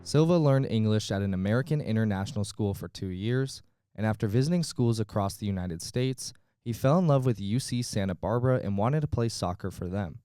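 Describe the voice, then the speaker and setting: Mellow-voiced man narrating a documentary. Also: fairly low pitch, brisk, clear, resonant tone, dry recording, studio quality, soft background music. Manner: steady, read-aloud delivery. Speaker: podcast host and narrator.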